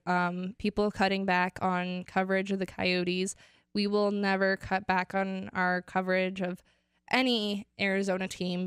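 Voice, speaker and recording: An American woman co-hosts a casual sports talk show, chatty and quick as she fires off questions. She is a little sick and losing her voice, yet her tone comes through very clean and smooth. The studio sound is dry and close. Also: fairly low pitch, dry recording. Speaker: co-host.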